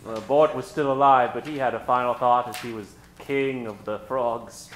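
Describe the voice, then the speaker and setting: A man's voice: mid-range voice, steady tone, moderate pace, fairly bright tone, a little hiss or hum. A man hosting and narrating an old-time radio comedy in a theatrical style. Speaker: host and narrator. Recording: roomy stage recording with music behind.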